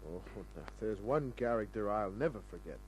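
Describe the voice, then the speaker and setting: Man playing a low-voiced wisecracking Australian private eye. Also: lively intonation, brisk, natural voice. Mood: guarded, dry. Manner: laconic, wisecracking. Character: wry, tough, flirtatious Sydney private investigator. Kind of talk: 1950s radio crime drama acting and first-person narration. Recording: noisy old radio recording with hum.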